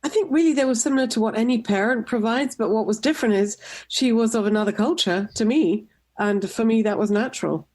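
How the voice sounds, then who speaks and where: A middle-aged woman from Tunisia, a nonprofit director, giving measured, composed answers in a radio interview. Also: on the high side, a little mellow. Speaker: guest, nonprofit executive director.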